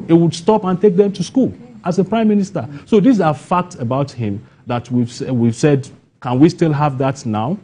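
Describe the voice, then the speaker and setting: A man's voice: fairly high pitch, mellow. A Nigerian man speaking as an interview guest, earnest and emphatic, repeating phrases as the point builds. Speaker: playwright and guest. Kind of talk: broadcast interview answers.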